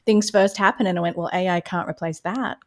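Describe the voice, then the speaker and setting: Woman podcast host, pretty low voice, chatty and encouraging, agrees a lot. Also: fairly even intonation, fairly quick. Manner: affirming, encouraging. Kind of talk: conversational interviewing.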